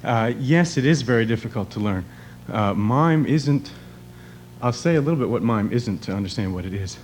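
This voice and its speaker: A man out of breath, giving halting, thoughtful answers as a guest in a conversational interview. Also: mid-range voice, expressive, darkish tone. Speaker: guest, mime performer. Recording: noisy recording with hum.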